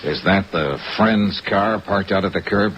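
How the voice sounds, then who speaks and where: A man's voice: low voice, unhurried. An American man acting a tough, world-weary, sardonic insurance investigator with clipped delivery in a 1950s radio drama. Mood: sardonic, probing. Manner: clipped, hard-boiled delivery. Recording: dull old radio recording, faint noise. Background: rain sounds.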